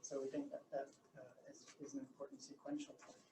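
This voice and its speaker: A soft-spoken man with a New Zealand accent, giving a formal submission to a council hearing with many fillers and restarts. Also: medium pitch, level tone, a little fast. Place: council chamber. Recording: echoey room, distant mic, noisy.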